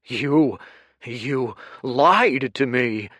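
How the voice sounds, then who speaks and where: A man voicing a huge, hot-tempered young man who shouts and snarls, his voice cracking with grief. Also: mid-range voice, slow pace, dry recording, voice pushed up. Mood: furious.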